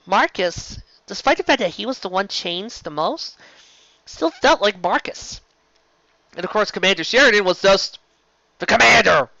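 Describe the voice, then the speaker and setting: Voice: medium pitch, expressive, moderate pace, vocal fry, sharp tone, no echo, muffled recording. A show host giving a casual, rambling fan review in a gushing, emphatic way, often tripping over words and restarting. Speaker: host of a fan fiction live-read show.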